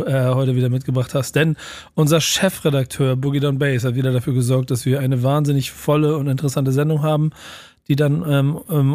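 A man with a mild cold hosts a relaxed podcast conversation, his voice sitting a little high. The studio sound is dry and close, and he keeps things loose with plenty of ums and ahs. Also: dry recording. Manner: relaxed, chatty moderator with many fillers. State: slight cold, tired. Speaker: host. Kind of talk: casual podcast chat.

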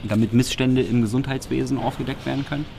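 A man's voice: medium pitch, fairly even intonation, fast, vocal fry, noisy background. A man from Berlin co-hosting a podcast, recapping press conferences in a wry, sarcastic, rambling way. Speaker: podcast co-host.

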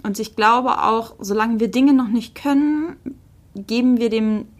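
A woman co-hosting a podcast, telling anecdotes in a casual, conversational and animated way. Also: a little high, measured pace. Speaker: podcast co-host.

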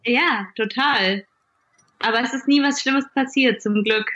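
A woman with a sharp, forward voice, chatting casually as a guest and sharing her own anecdotes. She is from western Germany, and the recording sounds slightly muffled. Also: on the high side, measured pace. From Duisburg area, western Germany. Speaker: podcast guest. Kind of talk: casual chat, personal anecdotes.

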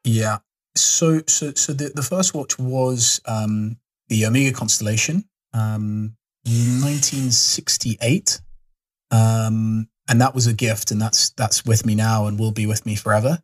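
A man's voice: lowish voice, steady tone, unhurried. A man from South Africa as a guest in a casual interview, speaking thoughtfully and hesitantly, often repeating words. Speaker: podcast guest.

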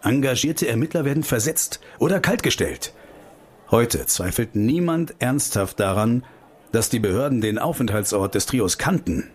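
An actor playing a determined, disillusioned investigator in a political radio play, measured and dryly sardonic. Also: male voice, medium pitch, lively intonation, slightly rough. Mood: grave, insistent. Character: determined male investigator, disillusioned with corrupt state authorities.

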